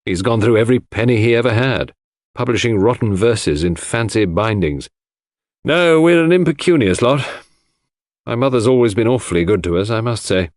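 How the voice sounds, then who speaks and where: A man voicing a gloomy, curt English country gentleman in an audiobook, frank and grumbling in manner. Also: mid-range voice, animated, moderate pace, dry recording, studio quality, lightly acted. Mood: gloomy, bitter. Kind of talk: audiobook character dialogue.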